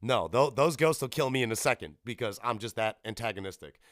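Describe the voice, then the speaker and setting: A man hosting a podcast, giving casual, sweary gaming commentary with animated, informal storytelling on a close mic. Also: mid-range voice, brisk, dry recording. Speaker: podcast host. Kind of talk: casual gaming news commentary with personal anecdotes.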